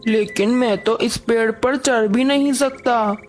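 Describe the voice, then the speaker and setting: A woman's voice: a little high, measured pace, a little hiss or hum, lightly acted. A woman voicing a friendly, simple male crocodile who sounds eager, in a cartoon story. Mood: craving, plaintive.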